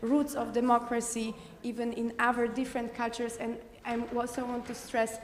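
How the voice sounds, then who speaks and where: A woman from the audience, speaking English as a philosopher at a conference, direct and insistent, often pausing with fillers. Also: fairly high pitch, monotone, long reverb tail. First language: Polish. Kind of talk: conference floor remarks. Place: conference hall.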